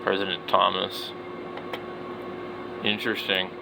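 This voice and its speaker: A middle-aged man with a fairly low voice talks casually and without a script. He is blunt and opinionated, like a hobbyist browsing products aloud. A clear steady hum of noise sits behind him. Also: slow. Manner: blunt, opinionated, mildly slangy. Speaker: hobby radio vlogger.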